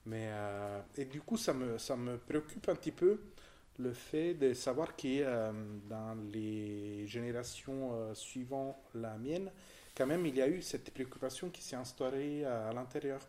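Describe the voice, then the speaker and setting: A man's voice: medium pitch, measured pace, mellow. Hesitant Italian-accented man. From southern Italy. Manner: hesitant, searching for words, many restarts. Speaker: visitor discussing an exhibition. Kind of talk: casual conversation.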